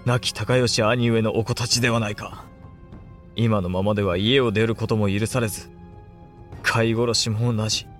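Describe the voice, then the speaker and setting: An actor playing a dutiful but resentful young samurai in a period audio drama, with formal, emotional speech. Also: male voice, lowish voice, steady tone, moderate pace, a bit bright, faint music behind, natural voice. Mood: bitter brooding.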